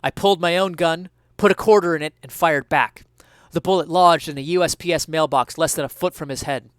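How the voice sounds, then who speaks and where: A man's voice: a little high, fairly quick, smooth, dry recording. A man giving a dramatic reading of a first-person story. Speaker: dramatic reader.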